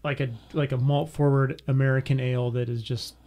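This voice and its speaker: A man's voice: mid-range voice, flat delivery, brisk, over a noisy crowd. A man co-hosting a casual panel podcast, chatting informally. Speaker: podcast co-host. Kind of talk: casual panel chat about beer.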